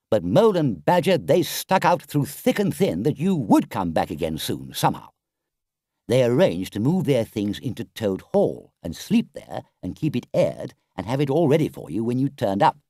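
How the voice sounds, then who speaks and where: A man's voice: mid-range voice, darkish tone, no room sound, clean studio sound, pitched above the performer's own voice. A man voicing a sensible, loyal water rat from a storybook, with a firm, emphatic, scolding manner. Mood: earnest recounting.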